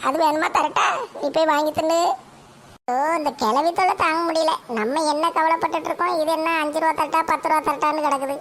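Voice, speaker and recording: A woman's voice: sits high, fairly even intonation, fairly quick, fairly bright tone, a little hiss or hum. A woman voicing a nosy, bickering old grandmother, fussing and scolding. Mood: coaxing. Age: older.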